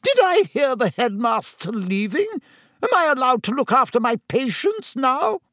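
A shrill, bristling older nurse, voiced by a man in a storybook reading, scolding in a very high voice that leaps all over its range. Her tone stays indignant and fussy. The sound is dry and close. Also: big pitch swings, normal speed, sharp tone, dry recording, full character voice.

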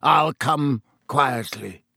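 Man voicing croaking, desperate fugitive. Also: mid-range voice, expressive, measured pace, bright, forceful tone, no echo, studio quality, full character voice. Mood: snarling. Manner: snarling, croaking. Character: hate-filled, desperate male fugitive.

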